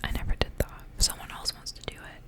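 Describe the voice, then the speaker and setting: A young woman speaking in a soft, breathy whisper as an ASMR creator. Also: fast. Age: young adult. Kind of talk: whispered ASMR, casual rambling and story reading.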